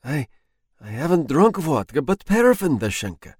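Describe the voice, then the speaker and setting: A man's voice: on the high side, very animated, moderate pace, darkish tone, husky, dry recording, clean studio sound, pitched above the performer's own voice. A man voicing a timid, frightened clerk who stammers and pleads. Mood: stammering.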